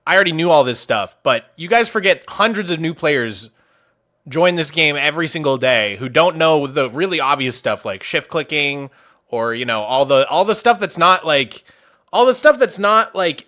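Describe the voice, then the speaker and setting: A man's voice: fairly high pitch, very animated, moderate pace, sharp tone. A Swedish man streaming, chatting casually and rambling with lots of fillers while he reacts to a show. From Sweden.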